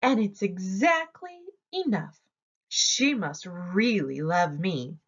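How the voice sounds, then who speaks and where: A woman acts out a small male hare from a children's storybook, boastful and quick to take offence. The voice swings up and down in pitch and takes its time over the words. The sound is a little dull. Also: expressive, husky, full character voice. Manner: bragging, protesting.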